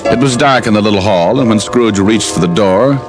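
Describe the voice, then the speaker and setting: A man's voice: on the low side, fairly quick. A man narrating an old-time radio comedy story in a wry, slangy, folksy manner. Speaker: narrator and announcer. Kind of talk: 1940s-50s radio comic storytelling. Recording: old radio broadcast with loud music.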